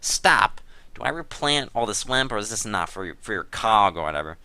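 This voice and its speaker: A man's voice: on the low side, sing-song, fairly quick, bright, forceful tone, clean tone, no echo, exaggerated voice. A man doing a dramatic reading as a defensive, aggrieved gamer, whiny and insistent about his innocence. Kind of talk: dramatic reading of a gaming chat log.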